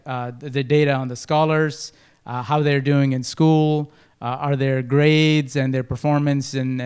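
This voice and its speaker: A man from Nepal pitches for a non-profit in an informal, hesitant manner. His voice is smooth and clear, at a medium pitch. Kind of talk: informal spoken presentation.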